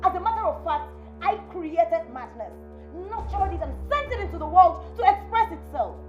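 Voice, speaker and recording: A woman's voice: mid-range voice, sing-song, unhurried, highs cut off. An actress playing a defiant young woman who confronts her friend's cruel sister. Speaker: actress in a family drama. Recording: echoey room with music behind.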